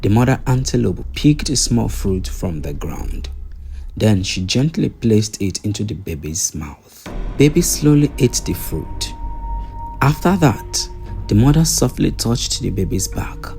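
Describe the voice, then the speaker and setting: A man narrating a scripted folktale in a measured storytelling manner, in short phrases with pauses. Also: lowish voice, sing-song, vocal fry, dark tone, faint music behind.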